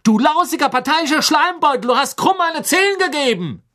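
A man voicing an excitable, fiercely loyal teenage wizard schoolboy in an audiobook, gushing breathlessly and indignantly. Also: medium pitch, a little fast, breathy edge, heavily acted. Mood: angry, outraged.

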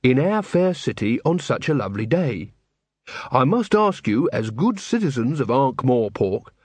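A man's voice: mid-range voice, very animated, unhurried, a little mellow, slightly rough, no echo. A man voicing an earnest young city watch corporal with a formal, stern, commanding manner.

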